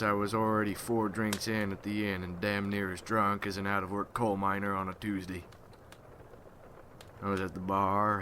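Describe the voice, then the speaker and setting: Guy doing a weary folksy vampire hunter for asmr, low flat voice, halting and somber, noisy ambience behind. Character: weary, haunted rural American Civil War veteran turned vampire hunter. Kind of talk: ASMR roleplay monologue.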